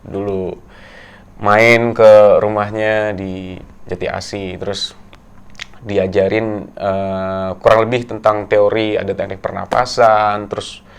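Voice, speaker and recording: Young guy from tegal, low gravelly voice but smooth, halting, lots of ums. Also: little pitch movement. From Tegal, Central Java, Indonesia. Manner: soft-spoken, halting with many fillers. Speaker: guest actor. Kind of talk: casual interview.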